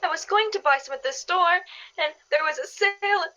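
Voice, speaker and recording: A woman's voice: very high-pitched, a little fast, noisy background. A woman playing a fretful, pleading wife and mother in a radio drama, anxious and close to despair. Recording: dull, band-limited recording.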